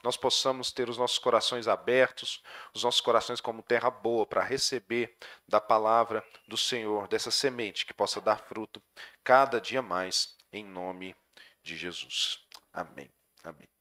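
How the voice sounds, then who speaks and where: A man with a Brazilian Portuguese accent preaching a sermon as pastor, earnest, his voice dropping soft at the ends of phrases. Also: on the low side, steady tone, measured pace. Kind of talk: sermon with prayer.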